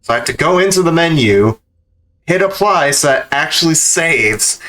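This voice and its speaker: A man's voice: fairly high pitch, animated, normal speed, pushed and bright, rough recording. A man giving casual gameplay commentary as a speedrun streamer. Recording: keyboard clicks and background noise.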